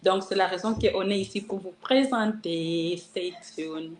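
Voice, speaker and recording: This is a woman born in Congo, mostly an English speaker, working her way through French on a livestream. Her phrasing is careful and halting. She sounds roomy and reverberant, with a faint hum of ambience behind her. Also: lowish voice. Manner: halting second-language French. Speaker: guest panelist.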